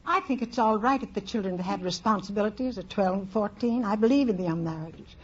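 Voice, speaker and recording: A woman's voice: mid-range voice, a little fast, slightly rough. An older woman answering unscripted as a contestant on a 1940s radio quiz. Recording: dull vintage radio recording.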